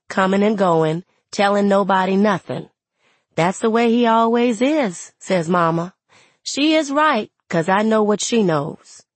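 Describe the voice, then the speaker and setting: Woman playing a plainspoken, husky young storyteller. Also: medium pitch, dry recording. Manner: conversational dialect storytelling. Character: young enslaved mixed-race woman, plainspoken, wry, resentful. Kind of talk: first-person audiobook narration in character.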